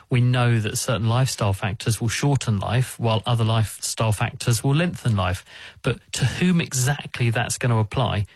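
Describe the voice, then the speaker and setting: Man, radio science expert, calm and methodical, dry close mic. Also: medium pitch, dry recording. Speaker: science expert answering callers. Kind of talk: radio call-in explanation.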